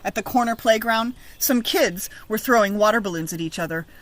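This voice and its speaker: A woman reading a children's story aloud in an expressive, teacherly narrating style. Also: mid-range voice, fairly quick, a little hiss or hum.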